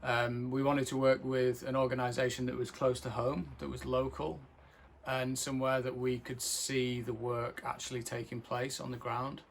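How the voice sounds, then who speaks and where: A male filmmaker speaking earnestly and thoughtfully about his work, pausing often with fillers. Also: medium pitch, flat delivery, vocal fry, a little hiss or hum. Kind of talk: casual film introduction.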